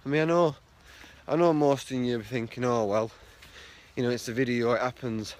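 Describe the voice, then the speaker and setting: A man's voice: medium pitch, steady tone, a little fast, darkish tone, slight background noise. A young man from the north of England, vlogging casually into a phone held at arm's length while walking outdoors. He rambles with lots of fillers and repeats himself. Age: young adult. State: blocked nose, sounds stuffy. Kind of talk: casual selfie vlog. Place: outdoors on a street walking to work.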